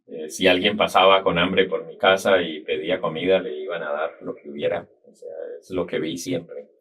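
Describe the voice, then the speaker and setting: A middle-aged man speaks with a Colombian accent from the Cali area, and his voice sits very low. He tells his story in an unhurried, reflective way, like a guest settling into a long interview. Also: steady tone, fairly bright tone, slightly rough, low-quality recording. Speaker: guest, business consultant. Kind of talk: casual interview talk.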